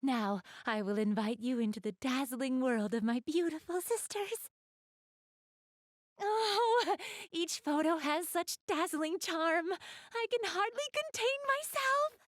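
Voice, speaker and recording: Elegant game goddess, doting big sister, higher-pitched, polite formal phrasing, gushing, very dry studio sound. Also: female voice, sing-song, slow, dry recording, full character voice. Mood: gushing, delighted. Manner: polite, formal, refined diction, gushing over what she adores. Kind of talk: video game character acting, addressing the listener.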